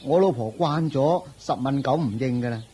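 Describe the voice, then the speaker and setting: An actor playing a poor, timid, henpecked husband in a radio drama, pleading and stammering in a Hong Kong Cantonese accent. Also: male voice, mid-range voice, normal speed, warm tone, slight background noise, lightly acted. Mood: meek, resigned.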